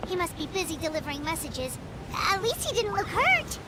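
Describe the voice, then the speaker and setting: A woman voicing a tiny cheerful fairy companion girl in a video game, squeaky, childlike and excitable. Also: brisk, background noise. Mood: concerned. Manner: bubbly, excitable, expressive.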